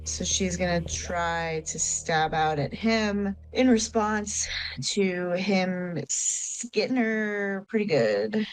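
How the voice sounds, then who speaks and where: A woman's voice: fairly low pitch, expressive, slow, poor audio. A woman playing a tabletop game, casually improvising and explaining her character's actions and feelings. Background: background music.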